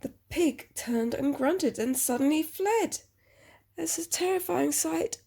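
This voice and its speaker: A woman with a husky voice reading a picture book aloud to a child, expressively, with chatty questioning asides. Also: high-pitched, expressive, slow, warm tone.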